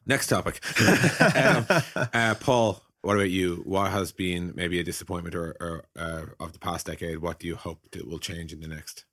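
Deep-voiced man hosting a podcast. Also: normal speed, fairly bright tone, no room sound, studio quality. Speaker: podcast host. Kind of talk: casual tech podcast discussion.

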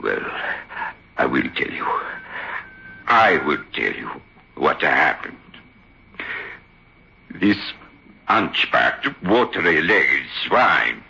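An angry Italian ventriloquist on the edge of madness. His low, harsh voice rasps and swings wildly through broken English, caught on a muffled old horror radio recording. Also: big pitch swings, drawn-out words, long pauses, sharp tone, exaggerated voice. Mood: bitter, agitated. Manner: broken English, agitated and dramatic. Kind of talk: 1960s radio horror drama acting.

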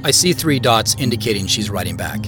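First-person drama narrator, male actor, reflective man with a lowish voice, clear music underneath. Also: lively intonation, brisk, close to an everyday voice. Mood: wistful. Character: reflective man narrating his own drive.

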